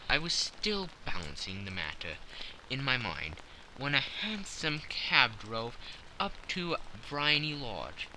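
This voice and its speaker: A man's voice: medium pitch, bright, forceful tone, slight background noise, poor audio. A man narrating a classic story as a scripted, dramatic audiobook.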